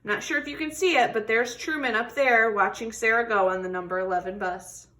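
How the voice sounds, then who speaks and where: A woman's voice: on the high side, a little fast, bright, forceful tone. A woman reading a children's picture book aloud expressively, stretching words for emphasis and putting questions to young listeners. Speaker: read-aloud storybook reader.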